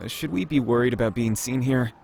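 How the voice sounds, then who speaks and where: A man's voice: mid-range voice, fairly quick, gravelly, a little mellow, a little hiss or hum, natural voice. A man voicing an earnest, wistful male android companion in a visual novel, with music behind him. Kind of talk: visual novel character reading.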